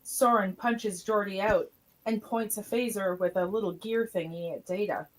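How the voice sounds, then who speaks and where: Female narrator for script read, mid-pitched, plain stage-direction reading, noisy recording with clicks. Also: fairly even intonation, moderate pace. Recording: noticeable background noise, clicks.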